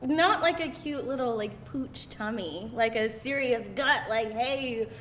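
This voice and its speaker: A woman's voice: unhurried, a bit bright. A woman playing a candid, self-deprecating girlfriend in a casual, conversational screenplay read. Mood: animated, teasing. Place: live table read in a roomy indoor space. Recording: roomy live room, noisy rumble.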